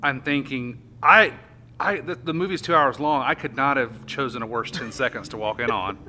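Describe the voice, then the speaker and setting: A man's voice: mid-range voice, moderate pace, sharp tone, slight background noise. A man hosting a podcast in a casual, chatty style. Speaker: podcast host. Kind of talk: casual chat.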